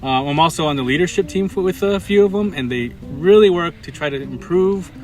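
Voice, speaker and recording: A man who works at a school, giving a casual interview answer in halting, measured phrases. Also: fairly high pitch, animated. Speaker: school staff member.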